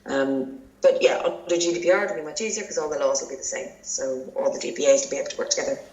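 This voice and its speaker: Irish female interview guest, low pitch, conversational explainer, roomy echoing recording. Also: fast, darkish tone, lo-fi sound. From Ireland. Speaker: interviewed journalist, podcast guest. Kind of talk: informal expert interview.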